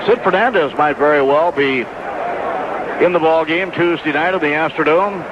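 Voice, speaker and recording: A man's voice: fairly high pitch, normal speed. A man doing live play-by-play baseball commentary on the radio. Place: stadium broadcast booth. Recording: noisy, dull 1980s AM radio broadcast recording.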